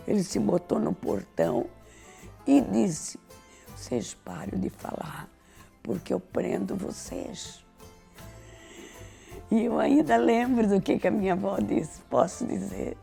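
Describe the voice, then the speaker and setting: Older woman, slow and lively telling of old memories, dark gravelly voice. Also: fairly low pitch. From southern Brazil. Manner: animated storytelling, acts out classroom scenes. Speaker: interviewee. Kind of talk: recounting memories.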